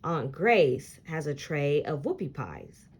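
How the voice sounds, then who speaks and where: A woman reading a children's story aloud in an expressive, deliberate storytelling style. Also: sits low, slightly rough. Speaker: picture book read-aloud reader.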